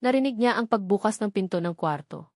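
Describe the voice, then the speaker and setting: A woman narrates a scripted romantic drama. Her voice sits at a medium pitch and her delivery is emotive and melodramatic. The sound is dry, with little room in it. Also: expressive, brisk, darkish tone, no echo. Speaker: story narrator.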